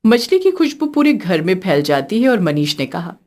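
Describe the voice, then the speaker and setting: A woman's voice: on the low side, big pitch swings, normal speed, a little mellow. A woman narrating a scripted story as a storyteller.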